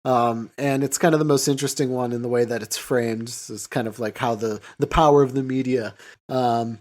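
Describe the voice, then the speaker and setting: A man hosts a casual film discussion in a mid-pitched voice. He rambles and hesitates, often padding his thoughts with "you know" as he works through his recap. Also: fairly even intonation, fairly quick, a little mellow. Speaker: podcast host.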